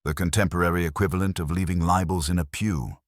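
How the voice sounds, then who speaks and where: A man voicing an imperious, erudite older detective in an audiobook, unhurried and pompous, with ornate, commanding phrasing. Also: low voice, steady tone, dry recording, studio quality, close to an everyday voice. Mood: calm, imperious. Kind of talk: audiobook detective story dialogue.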